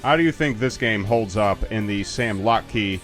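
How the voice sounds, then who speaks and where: A man's voice: lowish voice, unhurried, fairly bright tone, soft background music. A man casually chatting and joking as a commentator while a game's credits roll. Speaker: let's play commentator. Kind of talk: casual game commentary chat.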